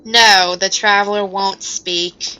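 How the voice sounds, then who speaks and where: A woman streaming a game, giving casual, halting, exclamatory reactions in short remarks. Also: mid-range voice, steady tone, bright, forceful tone, faint music behind, rough recording.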